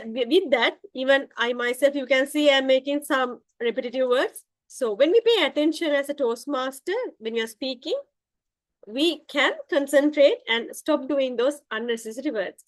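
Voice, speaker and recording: A woman from Sri Lanka leads an online club meeting as its general evaluator. Her voice sits fairly high and sounds dry and close. She speaks in a friendly way, with some hesitant fillers. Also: dry recording, rough recording.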